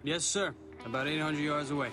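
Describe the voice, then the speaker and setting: An actor playing a cocky American army sergeant in a video game, a mock-formal joker. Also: male voice, on the high side, a little fast, music in the background, natural voice. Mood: wry, joking.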